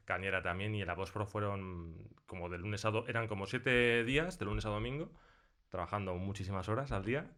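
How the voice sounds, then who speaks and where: Low voiced guy w spain accent, sound designer chatting in a studio interview, tells it like a story. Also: a little fast, a bit bright. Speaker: sound designer of an audio drama being interviewed. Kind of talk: casual conversational interview. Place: recording studio.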